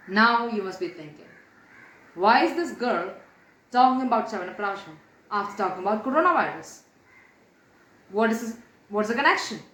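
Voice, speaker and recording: A young woman with an Indian accent narrates a moral tale, earnestly explaining and addressing her listeners directly. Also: fairly high pitch. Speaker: storyteller. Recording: echoey room with faint background noise.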